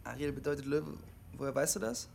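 Radio drama casting: a guarded, lonely young CB operator, halting and insistent, slightly high voice, faint background noise. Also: male voice, expressive, gravelly, a little mellow. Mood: insistent, nervous. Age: young adult. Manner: halting, insistent, repeats himself. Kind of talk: naturalistic radio drama dialogue.

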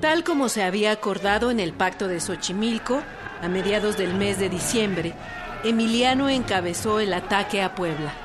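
A woman's voice: medium pitch, lively intonation, normal speed, over loud music. A woman reading scripted historical narration for a radio documentary drama.

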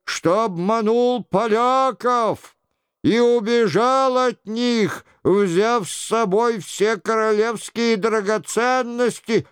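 Мужчина-чтец играет насмешливого шута, который жалобно, нараспев изображает кающегося короля. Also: male voice, clean tone, no echo, studio quality. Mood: mock-penitent. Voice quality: plaintive, high-pitched. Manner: drawn-out, exaggerated penitence. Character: mocking jester imitating the king in plaintive mock confession.

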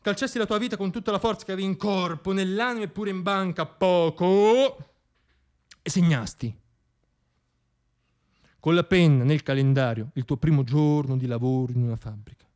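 Wry theatrical male monologist, clear voice. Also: fairly high pitch, darkish tone. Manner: wry, ironic, dramatic phrasing with pauses and swells. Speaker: solo theatre performer and monologist. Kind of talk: theatrical radio monologue, storytelling addressed to "you".

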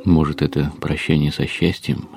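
A man's voice: a bass voice, little pitch movement, normal speed, gravelly, music in the background. An actor playing a weary, ironic husband who narrates his troubles in a literary audio play with wry, bitter resignation.

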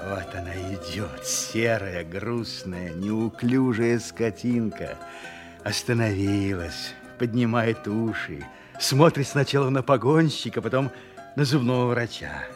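A man playing a wry, world-weary city judge who tells his story deliberately and theatrically in an audio play. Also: fairly low pitch, music in the background. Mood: wry, musing. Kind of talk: dramatic first-person narration in an audio play.

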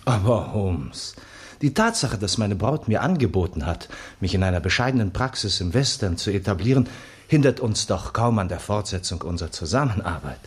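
A genial doctor in a 1960s radio play. The voice is lowish and husky, with very lively intonation. He sounds earnest and gently persuasive. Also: normal speed. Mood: coaxing, defensive.

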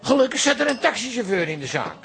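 An actor playing a fussy, opinionated older hairdresser telling anecdotes emphatically in a radio comedy-drama. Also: male voice, extremely high pitch, sing-song, fast talker, fairly bright tone, full character voice. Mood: animated storytelling. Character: fussy, opinionated old hairdresser and proud father.

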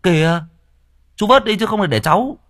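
A man voicing a brash young man, hurried and scolding, raised high above his own voice. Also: expressive, a bit bright. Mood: coaxing.

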